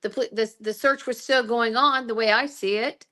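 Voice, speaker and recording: A woman's voice: on the high side, fairly even intonation, pushed and bright. A woman co-hosting a casual chat, reading from her own notes with frequent stops and restarts. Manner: halting, many restarts while reading notes. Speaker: co-host recapping trial notes.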